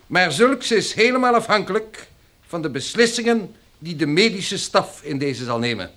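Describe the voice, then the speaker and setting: A man's voice: high-pitched, big pitch swings, normal speed, pushed and bright, heavily acted. A man acting in a radio drama as a stiff, officious army colonel who briefs listeners in pompous official language.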